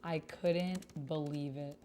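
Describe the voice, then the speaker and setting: A woman's voice: low voice, steady tone, slow pace, dark tone, slight background noise. A woman streamer chatting casually and musing to herself as she plays a roleplay game. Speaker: streamer playing a solo tabletop roleplay game. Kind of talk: casual livestream chat, thinking aloud.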